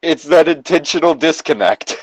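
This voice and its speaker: A man with a slightly high-pitched voice gives casual comic commentary. He swears freely and sounds incredulous and easily amused, recorded very dry with no room sound. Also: lively intonation, moderate pace, no echo, rough recording. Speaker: podcast co-host reacting to a story.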